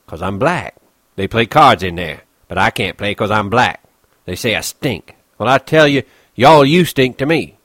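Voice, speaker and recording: Gruff, bitter ranch hand, as a man puts him on. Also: lowish voice, expressive, normal speed, pushed and bright, no echo. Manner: gruff, complaining, rough rural dialect.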